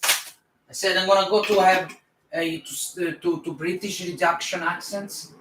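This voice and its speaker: A man's voice: sits high, steady tone, normal speed, background noise. A man with a light Italian accent bantering on a livestream, teasing with short playful quips. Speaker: band member and co-host. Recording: roomy sound from a distant mic.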